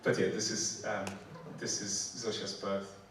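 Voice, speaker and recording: Polish-accented male poet in his forties, unhurried stage reading, captured from across an echoing theatre. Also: fairly low pitch, monotone, background noise. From Poland. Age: 40s. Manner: slow, deliberate poetry delivery; halting, joking banter with many fillers. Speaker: performance poet. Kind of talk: spoken word poetry and stage banter. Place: theatre stage before a live audience. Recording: echoey hall, mic from a distance. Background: audience laughter.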